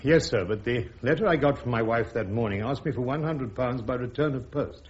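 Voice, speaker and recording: An actor playing a defiant British army major defending himself in a radio courtroom drama, with a clipped, sardonic, insistent manner. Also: male voice, medium pitch, brisk, natural voice. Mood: formal, guarded. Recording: dull radio drama recording.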